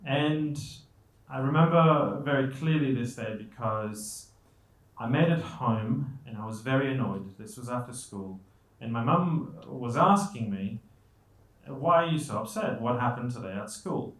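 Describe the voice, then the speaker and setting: A man's voice: medium pitch, expressive, unhurried, fairly bright tone. A man from Colombia giving a conversational motivational talk to an audience, with self-deprecating humour and rhetorical questions. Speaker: guest speaker. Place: indoor event stage. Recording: echoey room.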